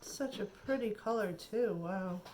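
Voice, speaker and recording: A woman's voice: mid-range voice, unhurried, darkish tone, rough recording. A woman chatting casually through a makeup tutorial, thinking aloud and admiring the colors, her voice a little nasal from a cold. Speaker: beauty vlogger.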